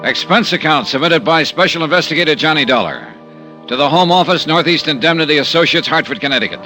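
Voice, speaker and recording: A man's voice: a little high, lively intonation, fast, sharp tone, soft background music. An actor playing a wry, hard-boiled male insurance investigator in a 1950s radio drama, talking in a dry, clipped, sardonic way.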